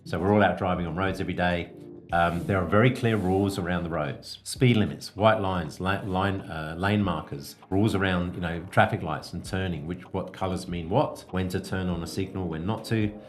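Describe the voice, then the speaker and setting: Male podcast coach, low-pitched, instructive and measured delivery, explanatory style, faint music underneath. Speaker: podcast host, business coach.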